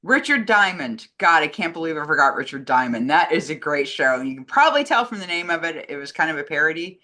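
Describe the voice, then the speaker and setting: A woman's voice: deep voice, sing-song, fairly quick, sharp tone. A woman in casual conversation, talking in an enthusiastic, rambling way as a co-host. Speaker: co-host answering fan questions. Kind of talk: casual chat.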